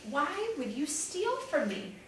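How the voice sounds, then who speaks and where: A kindly older innkeeper, hurt and bewildered, who pleads softly and rises high with her questions, as a woman reads her lines aloud. The voice is set in a very echoey room and caught from far off. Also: big pitch swings, slow pace, a little hiss or hum, full character voice. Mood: pleading. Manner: soft and pleading. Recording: very echoey room, distant mic.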